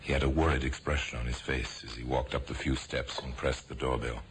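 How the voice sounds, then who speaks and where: A man narrating a radio drama in a measured, ominous way. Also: a bass voice, little pitch movement. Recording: hissy old radio broadcast.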